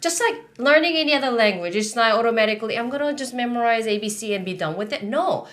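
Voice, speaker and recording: A woman's voice: fairly high pitch, fast. Korean-accented woman hosting a faith talk show. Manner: animated, gesturing, many affirming interjections. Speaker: host. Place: studio set.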